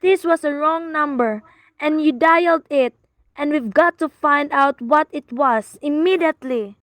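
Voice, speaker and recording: A woman's voice: sits high, a little slow, no room sound. A woman playing a frail, anxious, imperious wife alone at home in a radio thriller, fretful and melodramatic. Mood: exasperated, demanding. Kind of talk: old-time radio thriller acting.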